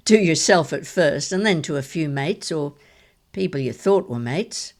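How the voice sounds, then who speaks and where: A woman acts out an elderly grandmother with a lowish voice in an audio drama. She is shrewd and wry, weighing her words reflectively as she talks things over with family. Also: expressive, unhurried. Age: older.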